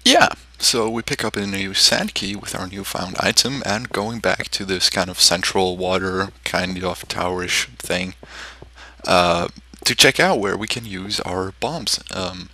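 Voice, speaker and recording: A young man from Switzerland, giving casual commentary over his own gameplay and rambling with frequent pauses. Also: low voice, pushed and bright, no room sound. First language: German. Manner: rambling, many ums and restarts. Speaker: game video creator.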